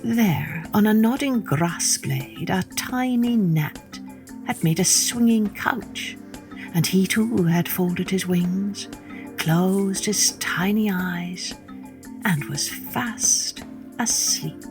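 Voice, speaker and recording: A woman narrating a children's story as a podcast storyteller, dramatic and savoring each word with deliberate pauses. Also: medium pitch, music in the background.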